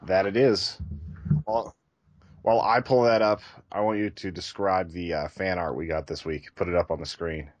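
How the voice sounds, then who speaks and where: A man's voice: low-pitched, fairly even intonation, normal speed, dry recording. A man chatting casually and enthusiastically as a podcast co-host.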